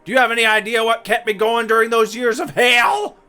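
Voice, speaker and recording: Casting: male actor as brash dead young soldier, high and forceful, crude, melodramatic, visual novel comedy. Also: steady tone, fairly quick, clean tone, faint music behind. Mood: defiant, crude. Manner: shouty, melodramatic, swearing. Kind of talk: dramatic reading of a visual novel.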